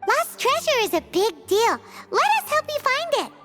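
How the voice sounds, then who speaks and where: Tiny squeaky excitable fairy companion. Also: female voice, normal speed, soft background music, exaggerated voice. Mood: surprised, indignant. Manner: squeaky, exclamatory, refers to self in third person. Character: tiny excitable floating fairy companion, childlike, speaks in third person. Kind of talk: anime video game voice acting.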